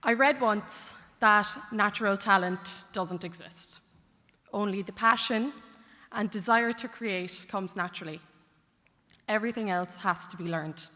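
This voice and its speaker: A woman's voice: medium pitch, unhurried, sharp tone. A young woman in her twenties giving a heartfelt graduation speech at a lectern, with light jokes. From Ireland. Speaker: graduate speaker. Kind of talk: commencement speech. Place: large concert hall. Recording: long hall reverb.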